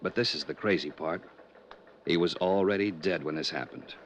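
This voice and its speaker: Man playing a deep-voiced, dry police lieutenant. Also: moderate pace, lightly acted. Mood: matter-of-fact. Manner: businesslike, dry, questioning. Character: matter-of-fact, dry male police lieutenant. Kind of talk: 1950s radio drama acting. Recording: old radio recording, faint noise.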